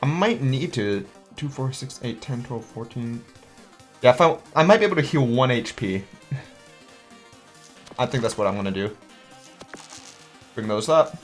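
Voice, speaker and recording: A man's voice: medium pitch, expressive, normal speed, pauses often, soft background music. A man hosting a gaming channel, chatting casually and thinking aloud as he works out his moves and counts up his dice. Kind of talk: let's play commentary.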